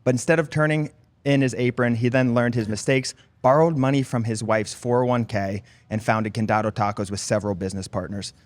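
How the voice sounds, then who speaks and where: A man hosting a podcast interview, reading a short intro and then asking brief, relaxed questions. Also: mid-range voice, fairly quick. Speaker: podcast host.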